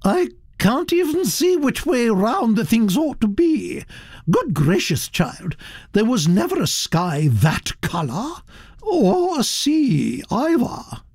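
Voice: mid-range voice, big pitch swings, slow pace, a little mellow, no room sound, clean studio sound, voice pushed up, exaggerated voice. A narrator playing an imperious, opinionated elderly duchess with a blunt, sputtering, autocratic manner. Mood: disgusted. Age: older.

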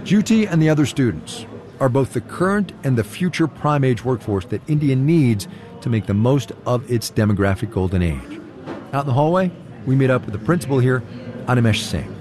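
A man's voice: mid-range voice, sing-song, a little fast, a little mellow. A man working as a radio reporter, reading scripted narration in a conversational storytelling way with short, punchy phrases.

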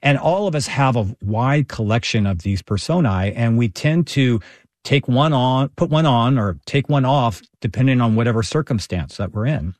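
A middle-aged man from Texas, a professor, talking thoughtfully as an interview guest, with measured phrasing and small restarts. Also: mid-range voice, expressive, darkish tone, no room sound. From Texas, Houston. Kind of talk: interview guest, reflective storytelling.

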